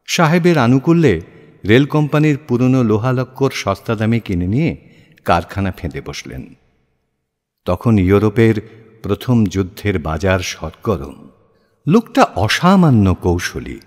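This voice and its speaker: A man narrating a literary audio story in a measured, expressive, deliberate way with pauses. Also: fairly low pitch. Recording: long hall-like reverb.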